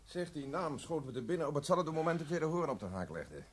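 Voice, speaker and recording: An actor playing a dry, teasing, understated police detective in a radio drama. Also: male voice, on the high side, sing-song, fast, noisy background. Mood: matter-of-fact. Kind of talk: Dutch radio drama acting.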